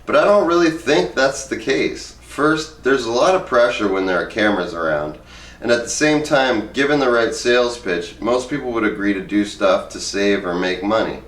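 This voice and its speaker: A man's voice: fairly low pitch, animated, moderate pace, a bit bright. A man reading book excerpts aloud in an informal, plain-spoken way. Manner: informal, plain-spoken, reads both sides of interviews in own voice. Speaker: book reader, presenter. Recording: roomy, echoey, distant microphone.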